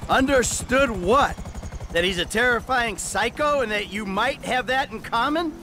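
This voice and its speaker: High-pitched, sardonic criminal accomplice. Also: male voice, unhurried, pushed and bright, a little hiss or hum, full character voice. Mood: wary, sardonic. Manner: dry, sarcastic, bossy. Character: world-weary, sardonic male criminal accomplice giving orders. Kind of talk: video game acting.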